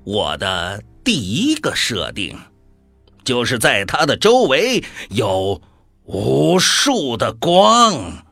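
A gleefully mocking devil in a radio drama. The delivery is slow and theatrical, with pitch that lurches wildly from low to high. Every line is a taunt, recorded close and dry. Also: huge pitch swings, vocal fry, a bit bright, dry recording, faint music behind, exaggerated voice. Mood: commanding. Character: mocking devil gleefully wagering on a world's fate.

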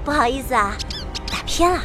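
Casting: greedy, cheeky girl mage and narrator, playful and boastful, high swooping voice over music. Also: lively intonation, fairly quick. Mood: narrating adventure. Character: cheeky, money-loving, bluffing young female mage. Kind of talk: audio drama acting with first-person narration. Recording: background music under the voice.

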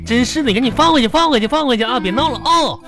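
A man's voice: extremely high pitch, expressive, quick pace. A man playing a sheepish, flustered husband in a comedic radio drama, with a Northeastern Chinese accent and a stammering, protesting delivery. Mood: flustered, defensive. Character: flustered, sheepish husband hiding money, making excuses. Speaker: radio comedy host and actor. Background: music.

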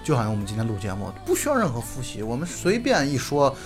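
A middle-aged man co-hosting a podcast, chatting casually and off the cuff in an animated, opinionated way. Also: medium pitch, quick pace, music in the background. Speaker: podcast host. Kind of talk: casual unscripted podcast chat.

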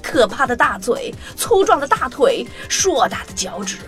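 A woman narrating a scripted children's story in an expressive, dramatic storytelling manner. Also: on the high side, normal speed. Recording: clear music bed under the voice.

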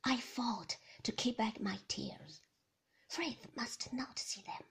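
A woman narrating a novel in a hushed, intimate whisper, as a whispered audiobook reading. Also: fairly high pitch, animated, takes it slowly. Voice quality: breathy whisper.

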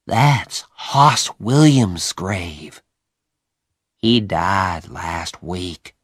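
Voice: low-pitched, very slow, slightly rough, no room sound, clean studio sound, heavily acted. A reader voicing a frightened young boy who whispers anxiously in a graveyard. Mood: scared whisper. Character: frightened boy Huck, jittery.

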